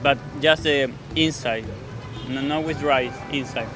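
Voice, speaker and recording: A man from Chile with a Chilean Spanish accent, answering casually in halting, simple English in a street interview. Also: medium pitch, steady tone. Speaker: tourist interviewee. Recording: music over outdoor street recording.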